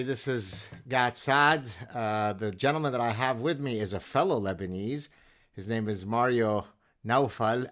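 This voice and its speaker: Middle-aged male host, mid-pitched, courteous and curious interviewer. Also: a bit bright. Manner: polite and inquisitive, occasional filler "uh". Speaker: podcast host and professor. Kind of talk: conversational interview.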